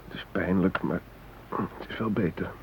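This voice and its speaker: An actor playing an anxious but resigned hostage in a radio drama, reflective and hesitant, with wry fatalism. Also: male voice, low voice, moderate pace, creaky. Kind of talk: naturalistic radio drama acting. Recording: noisy, narrow-band old radio recording.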